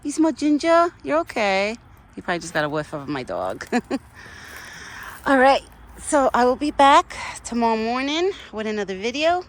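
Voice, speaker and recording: A middle-aged woman chatting casually outdoors to her viewers. She keeps breaking into high sing-song baby talk for an animal. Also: sing-song, normal speed, a little hiss or hum. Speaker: vlogger talking to a wild deer. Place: outdoors in a yard.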